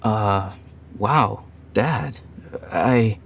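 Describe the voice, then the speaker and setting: A young man voicing a sweet, earnest grown son, an animal character in a motion comic, gentle and a little hesitant, with a soft, breathy voice. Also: lowish voice, very slow, slight background noise. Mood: touched, surprised.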